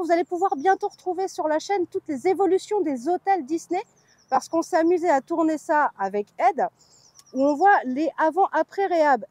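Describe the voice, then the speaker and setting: A woman's voice: extremely high pitch, mellow, no echo. A woman from France hosting a vlog, chatting informally with a playful, candid manner. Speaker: vlog host. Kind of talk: chatty theme park news vlog.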